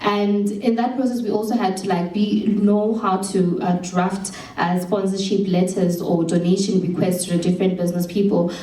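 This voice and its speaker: A woman's voice: medium pitch, steady tone, moderate pace, few pauses, mellow. A young South African woman speaking earnestly at a showcase about what she learned, with some restarts. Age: young adult. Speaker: program alumna and speaker. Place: event hall. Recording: roomy hall from far off with faint hum.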